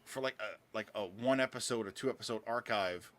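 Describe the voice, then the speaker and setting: A man's voice: fairly low pitch, brisk, a bit bright. Rambling, easygoing man on a podcast. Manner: rambling, lots of filler words and profanity. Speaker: podcast co-host. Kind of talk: casual podcast chat.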